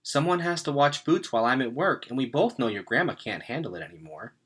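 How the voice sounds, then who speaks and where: A man voicing a gentle, apologetic working mother in a storybook read-aloud, in a coaxing manner. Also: mid-range voice, expressive, fairly quick, slightly rough.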